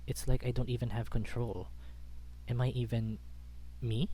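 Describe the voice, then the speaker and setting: A man's voice: medium pitch, level tone, moderate pace, warm tone, slight background noise, rough recording. A man reading a first-person story aloud as a dramatic narrator. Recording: background music under the voice. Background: music.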